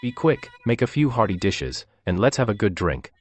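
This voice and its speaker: A man's voice: lowish voice, very animated, normal speed, slight background noise. A man playing a bossy, condescending, self-righteous husband and eldest son in a dubbed family radio drama. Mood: smugly commanding.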